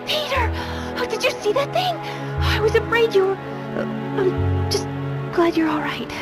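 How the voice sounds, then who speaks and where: Tender game girl, worried, hesitant, very high pitch, lively intonation, loud music. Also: unhurried, full character voice. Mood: shaken, relieved. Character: frightened, relieved teenage girl, tender and breathless. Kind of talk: video game voice acting.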